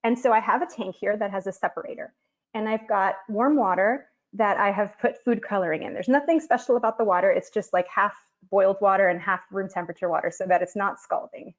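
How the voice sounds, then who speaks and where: A woman's voice: mid-range voice, fairly quick. Woman oceanographer presenting over a video call. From Miami, Florida. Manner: friendly, explanatory, with frequent ums. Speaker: physical oceanographer. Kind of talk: online science presentation and demo. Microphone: webcam on a video call. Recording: dull lo-fi video call audio.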